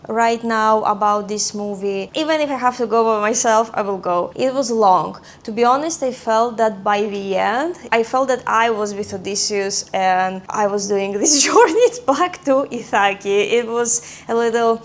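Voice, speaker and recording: A woman's voice: a little high, normal speed, hardly any pauses. Greek woman chatting in a casual vlog. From Greece. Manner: chatty, thinking aloud with fillers and small restarts. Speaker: vlogger.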